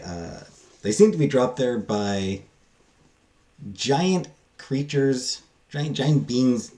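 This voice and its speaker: A man giving a casual, unscripted book review, halting and thinking aloud with frequent pauses. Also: on the low side, darkish tone.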